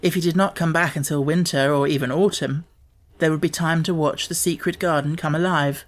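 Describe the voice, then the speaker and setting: A man narrating a children's novel as an audiobook in a measured, clearly phrased way. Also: on the high side, steady tone. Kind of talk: scripted storybook narration.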